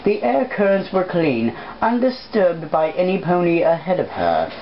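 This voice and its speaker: A woman's voice: lowish voice, big pitch swings, normal speed. A woman doing a casual amateur read-aloud of fan fiction, informal and self-deprecating, stumbling over words and breaking off for asides. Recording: dull lo-fi mic with clear background noise.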